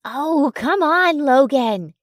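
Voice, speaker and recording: A woman acts out a flirtatious, clingy rival from a romance story. The voice is squeaky and very high, swooping about in pitch. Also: lively intonation, slow, no room sound, clean studio sound. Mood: sing-song coaxing.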